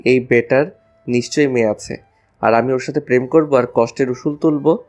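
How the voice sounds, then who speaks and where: Man as lovestruck young male student, cheeky, flippant, medium pitch, audio romance, faint music. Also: steady tone, a little fast, a little mellow, natural voice. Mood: grumbling, scheming. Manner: flippant, teasing banter. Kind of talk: Bengali audio love story acting.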